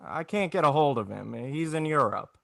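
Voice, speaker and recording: An actor playing an agitated small-town family man in 1940s radio drama, halting and stammering as he talks. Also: fairly high pitch, expressive, vocal fry, a bit bright, dry recording, clean studio sound. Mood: pleading, defeated. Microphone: close, dry video-call microphone.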